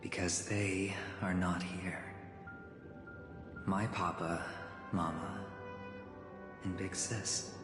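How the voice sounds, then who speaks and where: Slow, low-voiced, weary gambler. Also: male voice, fairly even intonation. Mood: gentle, wistful. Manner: slow, wistful, deliberate delivery with dramatic pauses. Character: suave, weary male gambler brooding on fate. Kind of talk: scripted game cutscene acting. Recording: echoey hall reverb with background music.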